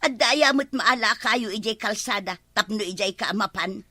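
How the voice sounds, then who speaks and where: A woman's voice: mid-range voice, moderate pace, bright, forceful tone, no room sound. A woman playing a scolding village housewife in a comedy radio drama, with an Ilocano accent. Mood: nagging. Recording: music behind the voice.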